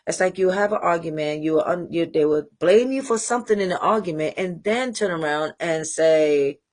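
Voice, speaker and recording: A woman's voice: on the low side, a little mellow. Trinidadian woman hosting a candid chat. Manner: candid, rambling, frequent pauses to regroup. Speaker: host. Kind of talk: casual livestream monologue.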